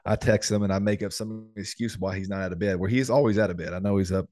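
A man's voice: on the low side, steady tone, fast talker, darkish tone, no room sound, studio quality. A man in his thirties, a former football lineman, co-hosting a sports podcast in a laid-back, rambling way. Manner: laid-back, rambling, many fillers and restarts. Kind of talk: casual sports chat.

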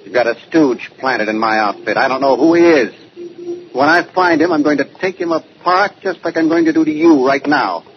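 An actor playing a hot-tempered, vengeful building contractor in 1940s radio drama style, gruff and confrontational. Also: male voice, on the high side, normal speed, natural voice. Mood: accusing, angry. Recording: noisy, muffled old-time radio recording.

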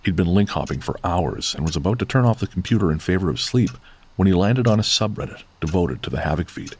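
A man's voice: deep voice, animated, fast, a little mellow, husky. A man reading scripted narration for a horror audio drama. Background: faint music.

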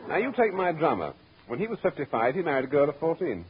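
A very raspy male voice races along on a muffled old radio recording. an American bandleader tossing out wisecracks in vintage comedy style. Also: on the high side, sing-song, fast, exaggerated voice. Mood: sardonic. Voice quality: husky, rough. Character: husky, fast-talking male wisecracking bandleader. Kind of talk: 1940s radio comedy acting.

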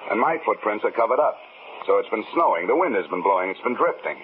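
A man's voice: lowish voice, big pitch swings, fast talker. An American man playing a tough, sardonic, wisecracking insurance investigator in a 1950s radio drama. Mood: insistent. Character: hard-boiled, wisecracking male insurance investigator. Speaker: lead actor and narrator in a radio detective drama. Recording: muffled, noisy 1950s radio recording.

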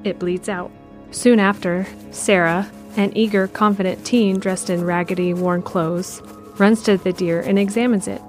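A woman narrating an audio drama in a measured, descriptive way. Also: mid-range voice, steady tone, darkish tone, faint music behind. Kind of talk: scripted narration.

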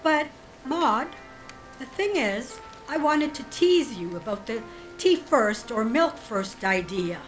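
A woman's voice: fairly high pitch, expressive, faint music behind, low-quality recording. An actress in an audio drama playing a genteel, coolly devious woman who feigns sweet innocence, speaking politely and hesitantly. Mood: apologetic, explaining. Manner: hesitant, polite, drawn-out delivery.

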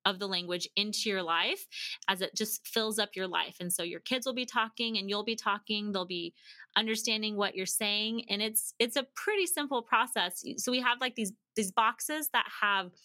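A woman's voice: medium pitch, moderate pace, bright, forceful tone, dry recording. A woman speaking as a podcast guest, chatting and telling stories conversationally, acting out bits of dialogue as she explains. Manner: chatty, acts out quoted dialogue. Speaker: podcast guest, language-learning company founder. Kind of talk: animated conversational storytelling and explaining.

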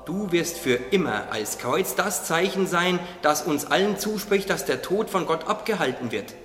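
A man's voice: a little high, expressive, normal speed, a bit bright. A man playing a wise, encouraging little caterpillar in a German radio play. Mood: solemn, encouraging. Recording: echoey hall, music underneath.

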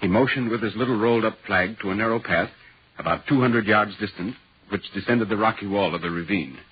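An actor playing a polite, inquisitive newspaper reporter recounting an eerie tale in dramatic 1940s radio style. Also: male voice, fairly low pitch, brisk, slightly rough. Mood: eerie narration. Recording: dull, hissy 1940s radio recording.

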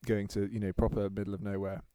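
A man's voice: fairly low pitch, flat delivery, fast talker, mellow, clear, resonant tone, dry recording, studio quality. A man in his thirties from England, co-hosting a podcast and telling stories in a chatty way with frequent laughter. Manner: chatty storyteller, laughs often. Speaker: podcast co-host. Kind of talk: casual chat.

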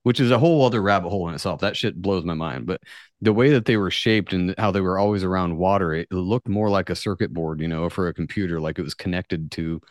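A man's voice: sits low, fast, no room sound, studio quality. A man hosting a podcast in casual chat, informal and slangy, swearing offhandedly. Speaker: podcast host.

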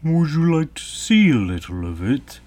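A man's voice: on the high side, very animated, moderate pace, mellow, pitched above the performer's own voice. A man voicing a sad, tearful, earnest sea turtle in a storybook. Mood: gentle. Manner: mournful, solemn, earnest. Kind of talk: storybook character voice.

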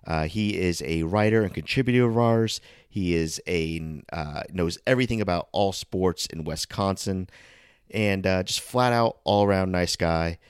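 Deep-voiced nasal man with a cold. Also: clear, resonant tone. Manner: rambling, many fillers and restarts. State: stuffy from a cold. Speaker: podcast host. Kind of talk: casual chat.

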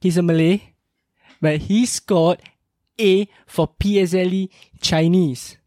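A man's voice: high voice, fairly even intonation, takes it slowly, mellow, dry recording, clean studio sound. A young man hosting a podcast interview, talking in an upbeat, chatty and emphatic way. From Singapore. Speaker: podcast host. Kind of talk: casual interview chat.

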